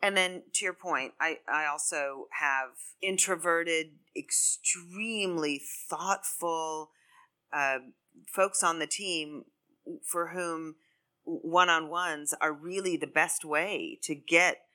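Low bright woman, earnest panel talk, lots of restarts. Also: slow. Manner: earnest, frequent restarts and repeated words. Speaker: marketing leader on a panel. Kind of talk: live podcast panel talk.

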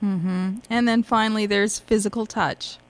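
A woman's voice: medium pitch, steady tone, measured pace. Woman cohost, middle voice, easy friendly prompts. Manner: light, friendly prompting with laughs. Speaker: co-host. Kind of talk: interview prompts.

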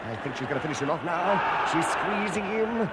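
Wrestling commentator for vintage radio comedy, played by a man: urgent, breathless, high-pitched, over heavy noise. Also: animated, fairly quick, natural voice. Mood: excited, incredulous. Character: excitable male wrestling match commentator. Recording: noisy old radio recording, loud crowd.

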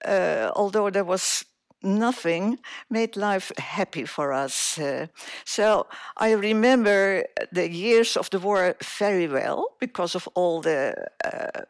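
A woman in her eighties, born in Indonesia, telling her life story as an interview guest, slowly and with many hesitations. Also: mid-range voice, expressive, no echo, studio quality. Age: 80s. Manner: slow, hesitant, many filler sounds.

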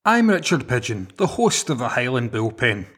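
A man's voice: medium pitch, very animated, moderate pace, a bit bright, breathy edge. A man with a Glaswegian Scottish accent, hosting a podcast with scripted introductions. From Glasgow, Scotland. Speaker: podcast host.

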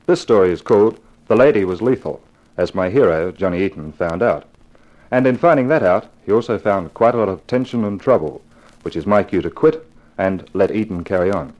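A man's voice: low-pitched, animated, a little fast, a little mellow. A man giving a scripted introduction to a radio mystery story, presented as its author. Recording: dull old 1950s radio recording. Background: music.

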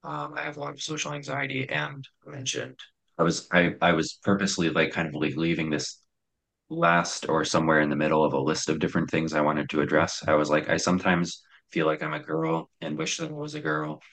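A young man with a very deep voice telling his own story in a hesitant, reflective way, with many fillers and restarts. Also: expressive, a little fast, creaky, fairly bright tone.

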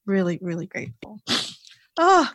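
A woman's voice: mid-range voice, very animated, a bit bright, no echo. Effusive middle-aged woman hosting a podcast. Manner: effusive, halting, with many likes and restarts. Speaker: podcast host. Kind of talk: casual interview chat.

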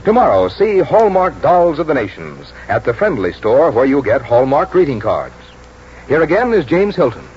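A man's voice: medium pitch, big pitch swings, normal speed. A man working as a 1940s radio announcer, reading a scripted advertisement with polished enthusiasm. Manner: polished, enthusiastic sales delivery. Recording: dull, noisy vintage radio broadcast.

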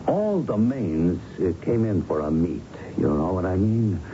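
Slangy, smug gangster for radio drama; swooping, animated delivery, muffled noisy old broadcast. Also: male voice, low voice. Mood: smug, needling. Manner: slangy, needling, drawn-out tough talk. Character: smug, slangy male gangster giving veiled reassurance.

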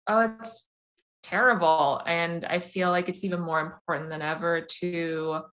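A young woman who makes animated films, answering interview questions in a casual, halting way with many restarts. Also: fairly low pitch, rough recording. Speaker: animation filmmaker.

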